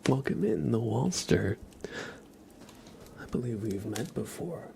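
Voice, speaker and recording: A soft-spoken man hosting an ASMR livestream, chatting casually and unhurriedly close to the mic, drifting between whispers and a low murmur. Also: animated, slow, creaky, slight background noise. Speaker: ASMR live streamer.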